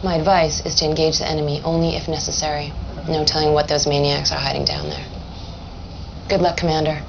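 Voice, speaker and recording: An efficient female lieutenant giving orders in a video game. Her voice is low with a gravelly fry, and she sounds assured and businesslike. The recording is dull, with noticeable noise. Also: little pitch movement, a little fast. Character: confident, efficient young female army intelligence lieutenant. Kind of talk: video game cutscene acting. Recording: dull audio, clear background noise.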